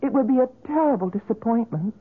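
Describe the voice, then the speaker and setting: A woman's voice: a little high, lively intonation, quick pace, slightly rough. An actress playing a polite, persistent young woman, earnest and hesitant, in a 1970s radio drama style. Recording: thin, phone-like old radio recording.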